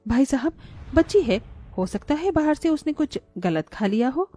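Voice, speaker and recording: A woman's voice: high-pitched, big pitch swings, moderate pace, dark tone, faint music behind. A woman voicing a greedy, crafty mother who sells street food, with a persuasive manner, in a cartoon story. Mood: crafty, self-justifying. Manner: self-justifying, persuasive.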